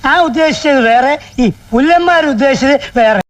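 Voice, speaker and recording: A excitable comic film character with a very high voice. He talks loudly, in movie comedy style, over faint music. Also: normal speed. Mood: agitated. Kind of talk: Malayalam film comedy dialogue. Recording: film clip with music behind.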